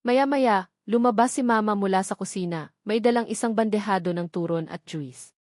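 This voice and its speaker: A Filipino woman reads a scripted romance drama in the first person. Her pitch sits in the middle of the female range, and the sound is dry with very little room to it. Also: moderate pace, darkish tone, dry recording. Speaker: narrator of audio love stories.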